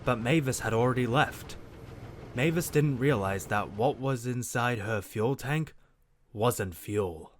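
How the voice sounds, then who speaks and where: A man's voice: medium pitch, slow, a little hiss or hum. A man narrating a scripted children's story as the narrator of an audio drama.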